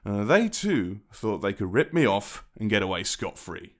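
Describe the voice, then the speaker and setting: A man's voice: on the low side, big pitch swings, moderate pace. A man playing a menacing, mocking British crime boss in an audio roleplay, with a taunting, conversational way of threatening. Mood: taunting menace. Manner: taunting, theatrical, conversational threats with sardonic chuckles. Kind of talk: dramatic audio roleplay monologue.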